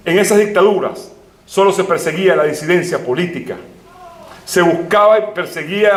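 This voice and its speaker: A man's voice: a little high, fairly bright tone. A Venezuelan man giving an impassioned, emphatic formal speech at a city hall ceremony. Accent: Venezuelan Spanish. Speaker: guest speaker.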